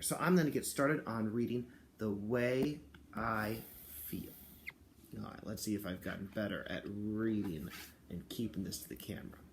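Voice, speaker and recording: A man's voice: fairly high pitch, a little mellow, a little hiss or hum. A man speaking as a kindergarten teacher, explaining and reading aloud to young children and parents.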